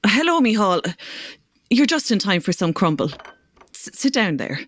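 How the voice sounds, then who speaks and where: A woman's voice: mid-range voice, big pitch swings, normal speed, a bit bright, no room sound, clean studio sound. A woman playing a kindly but firm Irish grandmother in a children's audio drama.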